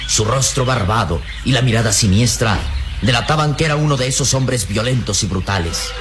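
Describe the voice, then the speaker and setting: A man's voice: medium pitch, fairly quick, a bit bright. A man narrating a radio serial in a dramatic scripted style. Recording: clear music bed behind narration.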